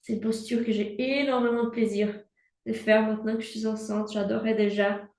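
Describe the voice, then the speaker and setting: A young woman guiding a prenatal yoga class in slow, calm cues with breath prompts, recorded from a laptop mic across the room. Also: a little high, vocal fry. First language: Portuguese. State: pregnant. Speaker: yoga instructor. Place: room at home, instructing from a mat. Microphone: laptop mic at a distance. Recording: roomy, from far off the mic.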